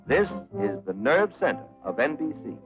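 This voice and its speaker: Lively vintage American male narrator over music. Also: medium pitch, normal speed. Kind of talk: 1940s promotional film narration, scripted. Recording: dull vintage 1940s film soundtrack, music behind. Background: loud background music.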